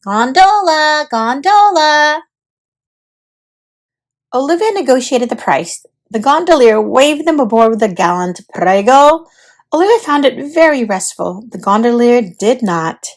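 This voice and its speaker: Casting: female read-aloud storyteller, high register, lively melodic swings, deliberate delivery for children's books.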